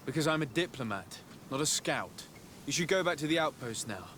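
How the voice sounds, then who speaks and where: A young gentleman diplomat from a video game cutscene, with a slightly raised pitch. He is composed and resolute, choosing formal, courteous words. A little background noise sits under the voice. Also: animated. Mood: calm, firm. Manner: formal, measured, polite. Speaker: diplomat character.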